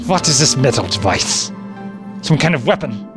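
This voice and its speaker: An actor playing a suspicious, contemptuous German army sergeant who barks curt commands in an audio drama. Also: male voice, medium pitch, very animated, moderate pace, close to an everyday voice. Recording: music under the voice.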